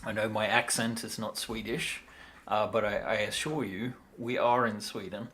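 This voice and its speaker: A man's voice: fairly low pitch, fairly even intonation, normal speed, fairly bright tone, slight background noise. A tired man talking casually and in a rambling way as he hosts a livestream demo. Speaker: livestream host, miniature designer.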